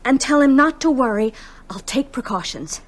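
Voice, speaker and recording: High-voiced, polite young woman. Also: animated, normal speed. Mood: determined, polite. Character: determined, polite young small-town woman. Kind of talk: radio drama acting.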